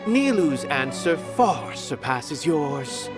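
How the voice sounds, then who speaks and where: An actor playing an aloof, philosophical art connoisseur in an anime game, pompous and theatrical with archaic phrasing. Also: male voice, fairly high pitch, sing-song, takes it slowly. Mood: musing. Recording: loud music behind the voice.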